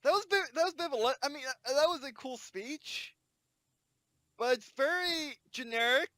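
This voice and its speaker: A man commenting on his own strategy game playthrough, excitable and jokey, talking back to the game. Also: very high voice, no echo. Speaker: gamer playing a strategy game. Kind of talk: let's play commentary.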